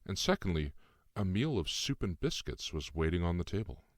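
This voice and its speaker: A man's voice: low-pitched, big pitch swings, normal speed, no echo, clean studio sound. A man reading his own novel aloud as scripted, first-person audiobook narration.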